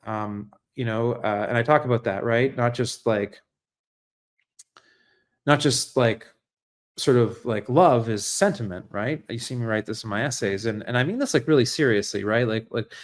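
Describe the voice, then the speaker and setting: An American man with a middle-range voice talks off the cuff to a livestream audience. He is earnest and reflective, feeling his way through each thought. His phrasing is halting, with repeated words and frequent tag questions. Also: mid-range voice. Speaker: livestream host and essayist. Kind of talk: unscripted livestream monologue on politics and philosophy.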